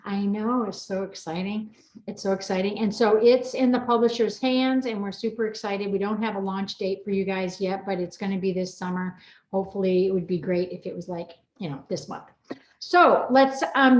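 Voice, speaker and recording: High-voiced excitable woman host, roomy echo. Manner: excitable, repeats phrases. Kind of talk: casual group video chat. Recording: roomy, echoing sound.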